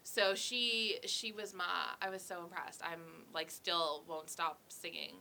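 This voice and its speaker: A woman's voice: on the low side, measured pace, pushed and bright, a little hiss or hum. A young woman co-hosting a casual chat, stuffy from a cold, speaking bluntly and swearing freely. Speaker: co-host.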